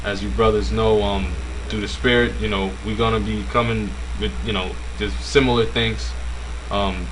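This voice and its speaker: A man teaching an informal religious language lesson, with a halting, filler-heavy manner. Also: fairly low pitch, flat delivery, noisy background. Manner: casual, halting, many fillers.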